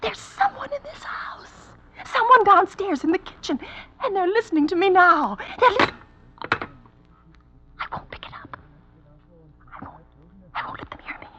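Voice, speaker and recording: Nervous imperious sick wife, high sharp voice, breathless pleading, 1940s radio suspense drama. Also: female voice, expressive, moderate pace, pauses often, slight background noise, heavily acted. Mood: terrified whispering. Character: frantic, demanding invalid wife alone at night. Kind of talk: 1940s radio thriller acting. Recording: dull vintage 1940s radio recording.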